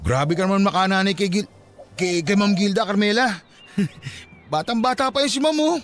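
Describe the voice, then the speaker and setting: A man's voice: high voice, normal speed, fairly bright tone, faint music behind. A man playing a defensive, ingratiating husband who scolds his wife in a Filipino radio drama, with a Filipino accent.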